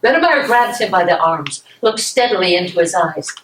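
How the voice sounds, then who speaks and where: A woman's voice: on the low side, animated, moderate pace, a little hiss or hum. A woman reading stage directions as narrator at a live play reading, in a scripted narration style. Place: library meeting room, live reading. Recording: echoey room, distant from mic.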